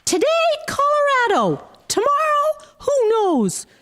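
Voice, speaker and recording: Shrill triumphant beetle. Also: female voice, huge pitch swings, takes it slowly, a bit bright, heavily acted. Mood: gleeful, triumphant. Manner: exclaiming, triumphant. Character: gleeful beetle crying out for world conquest. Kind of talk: radio drama acting.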